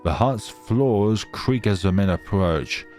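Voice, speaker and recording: A man's voice: fairly low pitch, lively intonation, slow, a little mellow, soft background music. A man hosting a gaming video, reading a visual novel aloud dramatically with casual, wry commentary.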